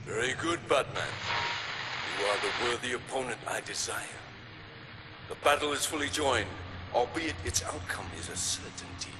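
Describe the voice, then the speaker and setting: A man playing an arrogant, coldly confident warlord villain in a video game, speaking formally, with loud music behind. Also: mid-range voice, normal speed, very noisy, close to an everyday voice.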